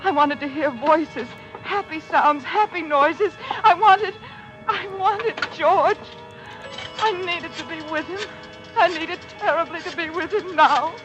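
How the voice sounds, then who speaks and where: Melodramatic radio widow over music. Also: female voice, extremely high pitch, unhurried, lo-fi sound. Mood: rising panic. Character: grieving, lovesick, anxious newly widowed woman. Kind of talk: old-time radio drama acting with first-person narration. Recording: old radio recording with music. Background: dramatic music.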